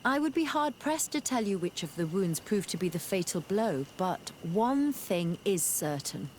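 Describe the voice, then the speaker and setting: An actress playing a scholarly, detached physician in a video game, formal and exact in speech. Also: female voice, mid-range voice, big pitch swings, unhurried, darkish tone, a little hiss or hum. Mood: clinical, assured. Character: clinical, scholarly female physician, detached and precise. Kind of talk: video game cutscene acting.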